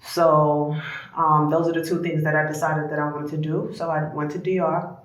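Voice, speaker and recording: A woman's voice: deep voice, little pitch movement, moderate pace. A woman born in Trinidad, a spa co-owner, chatting frankly and reflectively in a casual interview. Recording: echoey room.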